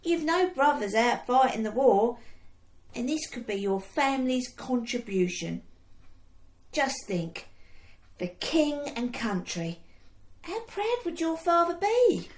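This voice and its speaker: A woman's voice: high voice, big pitch swings, slow pace, full character voice. An actress playing a bold but anxious young woman in a wartime radio drama, speaking earnestly. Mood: persuasive. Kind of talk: period war radio drama acting. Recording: echoey room sound.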